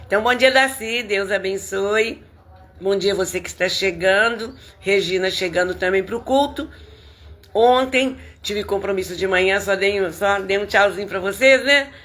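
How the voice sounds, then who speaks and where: A hoarse woman pastor with a Brazilian Portuguese accent, greeting viewers by name and praying fervently in a livestreamed service. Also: medium pitch, moderate pace, a bit bright.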